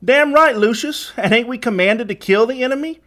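A man's voice: sits high, sing-song, fairly quick, fairly bright tone, voice pushed up. A man voicing young Confederate henchmen in a storybook, eagerly goading, with an American Southern accent. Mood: gleeful goading. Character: bloodthirsty young Confederate henchmen egging on their leader.

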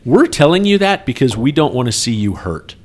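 A man's voice: medium pitch, very animated, a little fast, darkish tone. A man with a mid-range voice speaks as a co-host on a workplace trucking podcast. He explains things earnestly and conversationally, often looking for agreement as he goes. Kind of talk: casual workplace talk with anecdotes.

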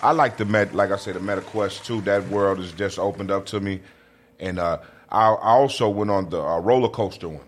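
A man's voice: lowish voice, brisk, slight background noise. A man chatting casually as a guest, emphatic and slangy, repeating phrases to drive points home. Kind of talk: casual chat.

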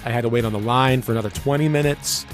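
A man's voice: medium pitch, fast talker, faint music behind. A man who works as a radio personality and DJ, telling stories casually and colloquially with a showman's turn of phrase as an interview guest. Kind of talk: casual storytelling in a sit-down interview.

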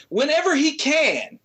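A woman's voice: sits high, a bit bright. A woman in her thirties co-hosting a podcast, chatting casually and reading aloud in an amused, giggly manner. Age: 30s. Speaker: podcast co-host reading out reviews.

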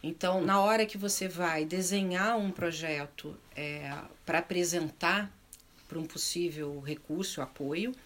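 A woman's voice: on the low side, unhurried, a bit bright. A middle-aged woman with a southern Brazilian accent, speaking unscripted in a panel discussion, reflective and often searching for words as she rephrases. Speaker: panel speaker on arts and culture.

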